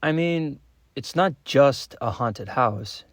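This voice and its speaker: An actor playing a pragmatic, dismissive government boss in naturalistic meeting dialogue, glibly brushing off objections. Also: male voice, mid-range voice, animated, slow pace, dry recording, studio quality. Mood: glib, impatient.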